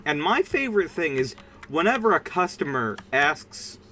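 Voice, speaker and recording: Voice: medium pitch, sing-song, moderate pace, pushed and bright, a little hiss or hum. A streamer chatting casually during a game livestream in a rambling, informal and emphatic way. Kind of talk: casual livestream chat while gaming.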